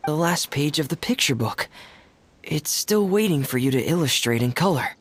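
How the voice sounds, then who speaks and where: A man voicing an earnest, reserved young male diver in a game, gentle and measured, as if reading a storybook. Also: on the high side, animated, husky.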